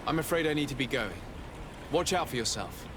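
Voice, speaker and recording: A man playing a courteous, composed noble envoy in a video game, with formal, measured speech. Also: mid-range voice, very animated, background noise, natural voice. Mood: courteous, inquiring. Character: polite, composed, probing young nobleman envoy. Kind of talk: video game dialogue acting.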